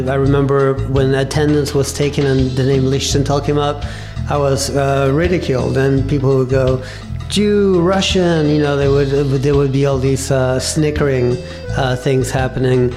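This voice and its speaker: A man in his fifties from Argentina, telling his life story in an interview with a thoughtful, halting manner and many pauses. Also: medium pitch, flat delivery, over music. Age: 50s. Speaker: interview guest, cafe owner. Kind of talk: reflective personal storytelling.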